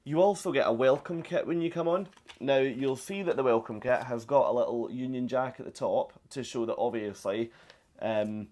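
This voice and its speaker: A man's voice: medium pitch, moderate pace, dark tone. A young British man from Britain, chatting casually to camera as a travel vlogger from a cruise ship balcony, upbeat and rambling with fillers.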